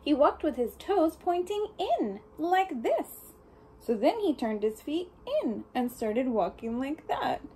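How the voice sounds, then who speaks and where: Female storybook reader for small kids: slow, very animated delivery with engaging questions, noticeable background noise. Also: high voice. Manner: expressive, questioning the listener, speaking as to small children. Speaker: storybook reader for young children. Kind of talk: read-aloud of a picture book with asides and questions to children.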